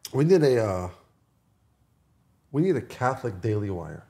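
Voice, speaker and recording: Man, American accent, medium pitch, podcast co-host, agreeable, informal banter. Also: very animated, takes it slowly, mellow. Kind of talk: casual banter.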